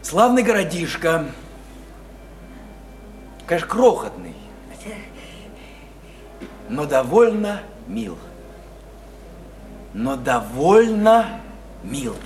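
A man's voice: on the high side, very animated, extremely slow, pauses often, slight background noise, heavily acted. An actor in a radio drama playing an awkward, polite visitor, uneasy and evasive, stammering and repeating his words. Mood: halting, reassuring. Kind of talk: stage-style radio drama acting.

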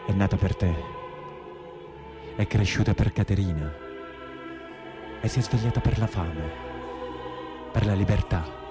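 An actor playing an imprisoned brigand in a radio play, plain-spoken and anguished, with a southern Italian accent and colloquial, dramatic delivery. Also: male voice, fairly low pitch, a little slow, long pauses, music in the background, lightly acted. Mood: anguished, solemn. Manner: colloquial, dialect-laced, dramatic. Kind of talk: theatrical monologue in a radio play.

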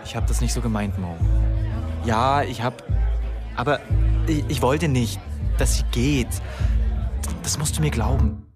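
A man's voice: medium pitch, measured pace, pauses often, over music, crowd noise, natural voice. An actor playing a flustered young man who stammers through a guilty confession in a radio drama. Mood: defensive.

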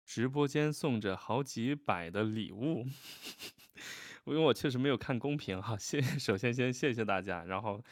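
A man's voice: medium pitch, normal speed, no room sound, clean studio sound. A man co-hosting a casual livestream, talking effusively and often repeating his words of agreement. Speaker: voice actor co-hosting a livestream about an audio drama. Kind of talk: casual live chat.

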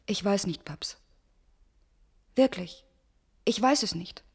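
Commander's young daughter, defiant yet hesitant, breathy and unhurried, radio play. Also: female voice, medium pitch, expressive, heavily acted. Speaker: actress. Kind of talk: German science-fiction radio drama acting.

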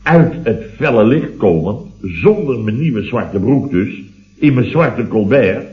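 An actor playing a blustering middle-aged building boss who rambles indignantly in a late-1960s Dutch radio comedy serial. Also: male voice, mid-range voice, expressive, slow pace. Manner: exclamatory, rambling, indignant storyteller with tag words. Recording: muffled old radio recording.

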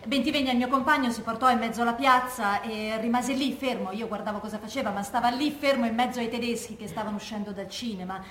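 A woman's voice: fairly high pitch, fast talker, few pauses, fairly bright tone, lightly acted. An actress playing a frank, wry former partisan woman recounting wartime exploits in a stage monologue. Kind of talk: stage monologue from oral testimony. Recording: roomy, echoey stage recording with noise.

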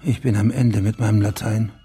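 An actor playing a weary, discreet police official who investigates and narrates in a German radio crime drama. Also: male voice, on the low side, fairly even intonation, normal speed, dark tone, slightly rough, soft background music. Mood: sombre, resigned.

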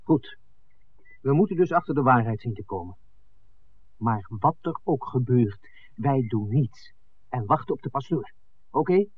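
An actor playing an impatient, pragmatic film maker in a 1960s radio drama, blunt and insistent. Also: male voice, mid-range voice, lively intonation, unhurried, long pauses, slightly rough. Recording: tinny, noisy 1960s radio recording.

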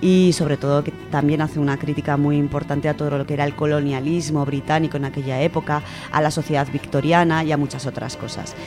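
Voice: medium pitch, little pitch movement, quick pace, few pauses. A radio presenter with an accent from Spain, talking about books in a chatty, enthusiastic way. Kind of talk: conversational radio book talk. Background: cello music.

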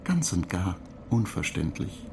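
Pedantic ship computer, gravelly, detached, terse, radio drama. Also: male voice, deep voice, sing-song, measured pace, darkish tone, slight background noise, exaggerated voice. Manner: dry, lecturing, terse.

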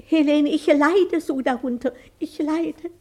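Timid little old lady, really high voice, halting, radio play style. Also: a little mellow. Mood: newly defiant. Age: older. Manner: halting, timid, repeating herself. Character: meek, hesitant old lady clinging to her little house. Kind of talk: German radio play acting.

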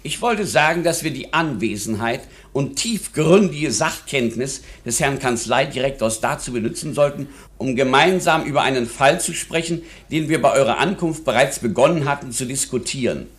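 An actor playing an ailing older lawyer in a German radio play, formal, long-winded and imperious. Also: male voice, mid-range voice, lively intonation, normal speed, few pauses, lightly acted. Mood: self-important, formal.

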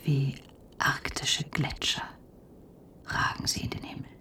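An actress playing an eerie figure who recites bleak, bitter poetry in a breathy whisper in a radio play. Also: female voice, slow, sharp tone, distant mic. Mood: bleak, brooding. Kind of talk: slow poetic radio drama recitation.

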